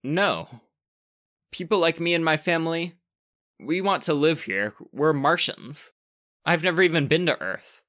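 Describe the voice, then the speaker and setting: A man's voice: fairly high pitch, fairly bright tone. A man voicing a stammering frightened boy. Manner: stammering, earnest. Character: frightened young boy on Mars, earnest.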